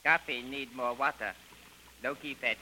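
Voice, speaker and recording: An actor playing a servile but treacherous native jungle guide in an old radio serial, speaking clipped broken pidgin English on a hissy recording. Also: male voice, medium pitch, fairly even intonation, a little slow, sharp tone, low-quality recording. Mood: deferential. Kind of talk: 1930s radio serial acting.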